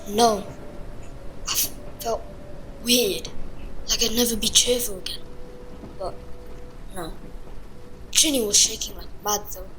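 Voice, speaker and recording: A woman playing a blunt, incredulous young schoolboy wizard in an audio drama, with a husky voice and colloquial manner. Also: mid-range voice, takes it slowly, pauses often, a bit bright, a little hiss or hum, low-quality recording. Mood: uneasy. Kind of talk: fan audio drama acting.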